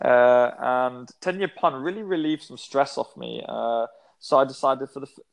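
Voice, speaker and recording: A man's voice: mid-range voice, moderate pace, gravelly. A man in his twenties, a guest in a casual interview, talking in a rambling way with many fillers and restarts. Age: 20s.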